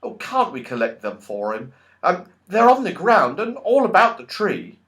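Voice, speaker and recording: Man playing an emphatic, high-voiced young girl. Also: wildly swooping pitch, normal speed, a bit bright, heavily acted. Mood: concerned. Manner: emphatic, exclaiming. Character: earnest young girl, caring but easily exasperated. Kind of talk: children's storybook character voice.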